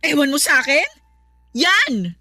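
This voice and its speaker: A woman's voice: high-pitched, very animated, measured pace, pushed and bright, soft background music, full character voice. A woman playing a hurt, defiant young mother in an emotional radio drama, pleading and arguing indignantly.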